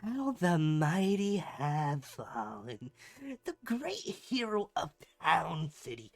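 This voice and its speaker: A man's voice: on the high side, full character voice. A man playing a pompous, melodramatic supervillain in a scripted ASMR roleplay, a bumbling rival who slips between grand speeches and chatty asides. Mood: gloating, grandiose. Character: theatrical, bumbling supervillain, gloating yet secretly caring rival.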